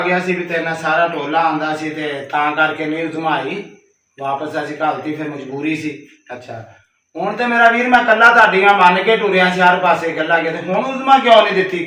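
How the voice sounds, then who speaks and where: A man's voice: fairly high pitch, bright, forceful tone. A man delivering an aggrieved personal monologue, reproachful and emphatic, repeating himself as he addresses someone directly. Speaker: caller leaving a recorded personal message. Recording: roomy, distant, dull call recording.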